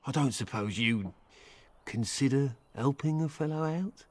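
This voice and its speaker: A man's voice: medium pitch, measured pace, dry recording, lightly acted. A man playing a hesitant, wheedling traveling merchant. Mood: weary, complaining. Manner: hesitant, wheedling, flowery courtesy. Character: luckless male traveling merchant, eager, polite and weary. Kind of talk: video game dialogue acting.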